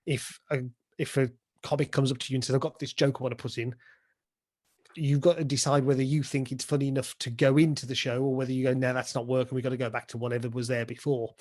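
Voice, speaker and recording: A man's voice: mid-range voice, flat delivery, fast, darkish tone. A British man giving informal, chatty interview answers as a pantomime dame and director, often explaining things through acted-out examples.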